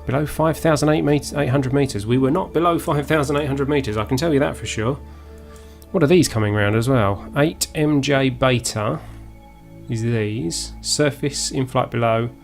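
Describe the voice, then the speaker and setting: A man's voice: medium pitch, normal speed, creaky, faint music behind. A man from Essex with a southern English accent, hosting a live gaming stream in a casual, unscripted way, often muttering to himself as he plays. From Essex, England. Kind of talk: casual live gameplay commentary.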